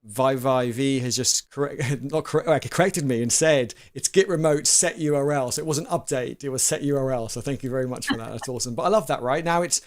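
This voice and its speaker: A man hosting a live stream, chatting casually and acting out quotes with lively swings, often repeating words as he restarts. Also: mid-range voice, fairly quick, few pauses.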